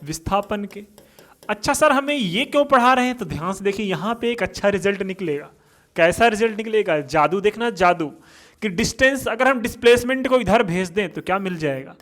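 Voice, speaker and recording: A man's voice: high voice, lively intonation, brisk, a bit bright. A male teacher giving a physics lesson for exam students, asking questions and answering them himself.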